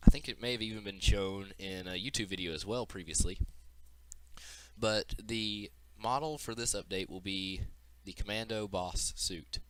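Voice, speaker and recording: A man's voice: lowish voice, normal speed, fairly bright tone, clear, resonant tone, no echo, poor audio. A man giving an informal, unscripted walkthrough of a game demo as its developer, explaining as he goes, with audible breathing into the mic.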